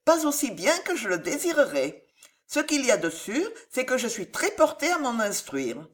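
A woman's voice: on the high side, sing-song, normal speed, pitched above the performer's own voice. Earnest young heiress, voiced by a woman narrator. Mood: modest. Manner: earnest, polite, eloquent. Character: sincere, generous young heiress, earnest and firm. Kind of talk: audiobook character voice.

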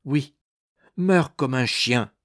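A man voicing a stern Protestant minister in a storybook reading, solemn, preachy and insistent, quick to indignation. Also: medium pitch, dry recording, clean studio sound, close to an everyday voice. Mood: indignant.

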